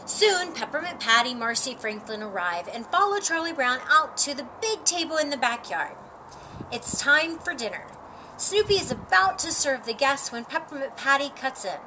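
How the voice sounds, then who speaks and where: A middle-aged woman reading a children's picture book aloud with animated expression, acting out the dialogue. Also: high voice, moderate pace, background noise. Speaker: storytime reader. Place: outdoor patio with lattice and ivy.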